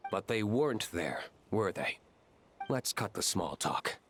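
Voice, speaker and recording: A man's voice: fairly low pitch, sing-song, a little slow, fairly bright tone, a little hiss or hum, heavily acted. A man voicing a serious, reserved young nobleman in a video game, formal, restrained and coolly courteous. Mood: curt.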